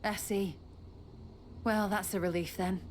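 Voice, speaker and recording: A woman's voice: medium pitch, fairly even intonation, unhurried, a little hiss or hum, natural voice. A woman voicing a wary townswoman. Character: wary adult townswoman, relieved but uneasy. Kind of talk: video game voice acting.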